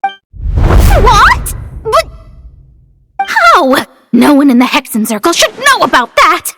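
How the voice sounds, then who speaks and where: A woman's voice: very high-pitched, huge pitch swings, slow pace, loud music behind the voice, full character voice. A woman voicing a proud, easily flustered young mage who poses riddles in a video game, theatrical and haughty. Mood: shocked, flustered. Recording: long hall-like reverb.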